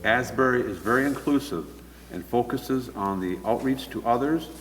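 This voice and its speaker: A man with a mid-pitched voice reads testimonials aloud. He sounds a little far from the mic in a reverberant space, under loud guitar music. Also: normal speed. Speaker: church team member reading quotes. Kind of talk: reading written testimonials aloud. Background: loud plucked guitar music.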